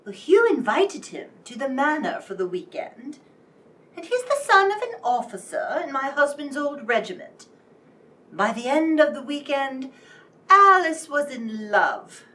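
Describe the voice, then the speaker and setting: A woman's voice: high voice, very animated, a little slow, pushed and bright, distant mic, heavily acted. A woman playing an anxious, genteel upper-class wife confiding dramatically in a radio play. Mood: worried, confiding.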